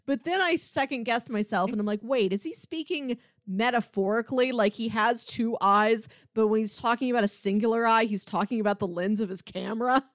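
A woman co-hosting a podcast in casual review chat, inquisitive and thinking out loud. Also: fairly high pitch, a little fast, few pauses, no echo, studio quality. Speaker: podcast co-host.

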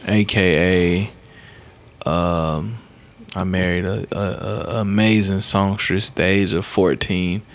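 A man in his late twenties hosts a casual podcast, rolling through the talk with a loose, joking manner and plenty of swearing. His voice sits fairly low, and he keeps his delivery easygoing. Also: steady tone, slow pace. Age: late 20s. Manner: laid-back, profane, joking. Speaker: podcast host. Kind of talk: casual chat.

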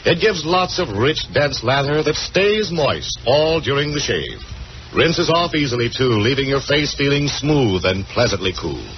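A man's voice: mid-range voice, very animated, unhurried. A man reading a scripted 1940s-style radio commercial as an announcer. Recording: dull, slightly noisy old radio recording.

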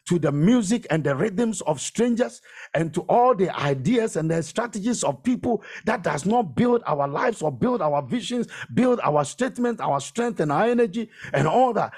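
Man, preacher, raspy voice, widely swinging intonation, emphatic sermon delivery, repeated phrases. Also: high voice, darkish tone.

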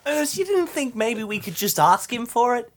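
A man with a husky voice bantering as a player in an improvised tabletop game, reacting with animated exasperation. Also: very high-pitched, moderate pace. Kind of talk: improvised casual play banter.

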